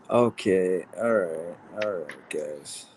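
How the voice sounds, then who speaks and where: A man hosting a casual livestream chat, rambling and halting with many fillers. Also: low voice, darkish tone, a little hiss or hum, poor audio. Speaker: livestream host.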